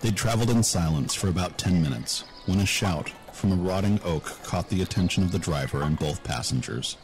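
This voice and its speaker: Deep-voiced male story narrator. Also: brisk. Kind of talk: scripted fantasy story narration.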